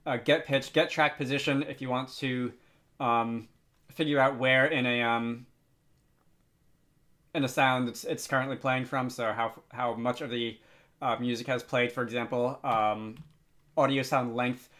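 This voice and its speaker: A man narrating an unscripted software tutorial, thinking aloud with frequent hesitant pauses. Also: medium pitch, steady tone. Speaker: tutorial host.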